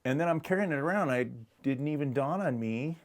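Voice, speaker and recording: A man's voice: a little high, animated, fairly quick. A middle-aged American man giving a casual, unscripted product demonstration in a chatty, rambling, conversational way. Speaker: music store product presenter.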